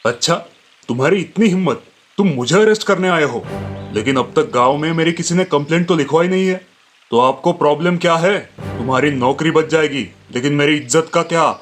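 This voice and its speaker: A man acts out an arrogant village strongman from a cartoon tale. He is domineering and threatening, with a slightly high male voice, and music plays underneath. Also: a little fast, close to an everyday voice. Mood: indignant, menacing.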